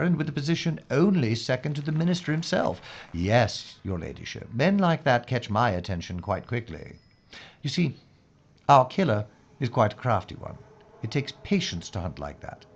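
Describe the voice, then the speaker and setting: A man acting as a grave, methodical British investigator in a period radio mystery. His voice is husky and moves widely in pitch as he lays out his thoughts formally and deliberately. The sound is slightly dull. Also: sing-song. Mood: intent, persuasive. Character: earnest, methodical male British investigator in wartime London.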